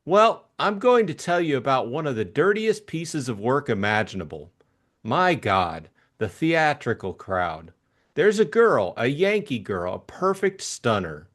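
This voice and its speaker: A man's voice: medium pitch, very animated, unhurried. A man voicing a chatty, eager, simple-hearted young upper-class Englishman in an audiobook, gushing and rambling in slangy talk. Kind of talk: audiobook character acting.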